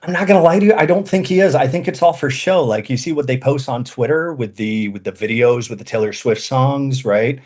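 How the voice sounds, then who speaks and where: A man's voice: mid-range voice, brisk, few pauses, darkish tone, breathy edge. A man originally from Iraq, a guest on a video call in a casual political debate, who argues his case and keeps tagging his points with "right?".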